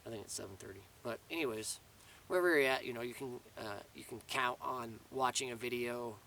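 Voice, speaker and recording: A man's voice: medium pitch, a little fast, vocal fry, sharp tone, recorded from a distance, a little hiss or hum. A man giving a casual, rambling video update outdoors in the mountains, restarting his sentences often. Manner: rambling, many restarts and fillers. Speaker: vlogger. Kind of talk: casual spoken update to camera.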